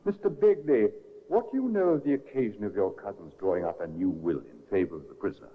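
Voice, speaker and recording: An actor playing a prosecuting barrister who questions witnesses formally in a 1950s radio drama. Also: male voice, lowish voice, lively intonation, fast, lightly acted. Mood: formal questioning. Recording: tinny old radio broadcast with reverb.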